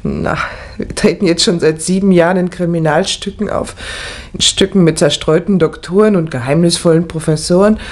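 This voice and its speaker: An actress as an expressive, affectionate stage-star wife. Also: female voice, lowish voice, normal speed, lightly acted. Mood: wry, fond. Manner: theatrical, expressive. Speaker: actress in a crime radio play. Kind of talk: 1960 German radio play acting.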